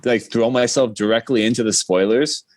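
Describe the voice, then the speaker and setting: A man's voice: mid-range voice, fairly even intonation, a little fast, dry recording. A man chatting casually as a podcast guest, talking in a rambling, self-deprecating way.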